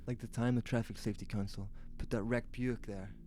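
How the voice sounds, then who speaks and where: Audio drama part: man as unconcerned local fellow, mid-pitched, brushing things off casually, over clearly audible ambient noise. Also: fairly even intonation, brisk, darkish tone, close to an everyday voice. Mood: dismissive, casual. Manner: casual, dismissive. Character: unbothered, dismissive small-town men, brushing off worries.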